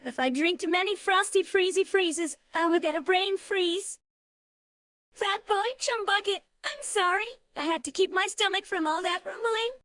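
A woman voicing an excitable, apologetic young cartoon character with pleading, childlike phrasing. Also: very high-pitched, moderate pace, no echo, clean studio sound. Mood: earnest.

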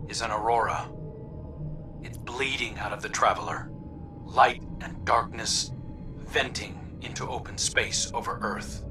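An actor playing an earnest scout in a video game, giving a field report in a measured, grave, deliberate way. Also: male voice, lowish voice, distant mic, natural voice. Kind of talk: scripted video game dialogue.

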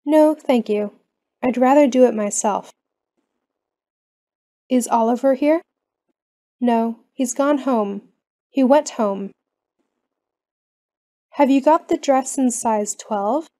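A woman reading common questions and answers slowly for English learners. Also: high-pitched, warm tone. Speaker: English lesson reader.

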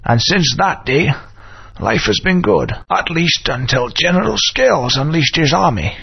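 A grave old wizard mentor, his high, gravelly voice rising and falling widely as he tells old history. He sounds weary and solemn. The game audio is muffled and lo-fi. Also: full character voice. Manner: solemn, slow storytelling delivery.

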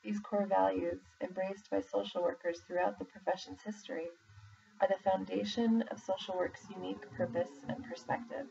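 Lady reading an official document out loud, mellow and really creaky, pauses between phrases. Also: mid-range voice, steady tone. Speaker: reader, social worker in exam preparation. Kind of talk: reading a formal document aloud. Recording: muffled, distant mic with faint background noise.

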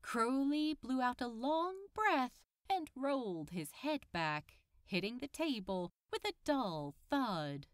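Casting: female audiobook narrator, unhurried story reading with lively pitch movement. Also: clean tone, no room sound, clean studio sound. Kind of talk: scripted fiction narration.

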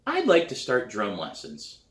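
A man's voice: fairly low pitch, huge pitch swings, unhurried, distant mic. A man voicing an eager, boastful young girl drummer in a storybook reading, pleading and insistent. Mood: nervous, insistent. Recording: roomy, echoey living room.

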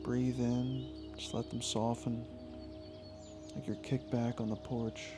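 Male meditation guide, informal and soothing, very slow delivery with long pauses, clear music bed. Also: medium pitch, little pitch movement. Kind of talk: guided meditation, yoga nidra body scan.

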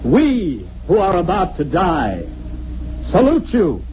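High, excitable blustering man. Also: takes it slowly, exaggerated voice. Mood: mock-heroic. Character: blustering, excitable male space adventurer, the sidekick. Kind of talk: 1950s radio drama acting. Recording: muffled, noisy old radio recording.

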